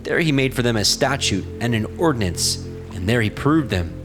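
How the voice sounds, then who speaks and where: A man's voice: mid-range voice, animated, moderate pace. A man narrating scripture from a script in a solemn, formal storytelling manner. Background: prominent music.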